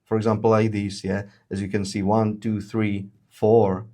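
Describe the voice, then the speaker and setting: Guy walking through a product demo, lots of uh's, steady explainer tone. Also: lowish voice. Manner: frequent "uh" fillers, measured explanatory delivery. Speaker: webinar presenter, solution engineer. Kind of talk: explaining product features in a webinar.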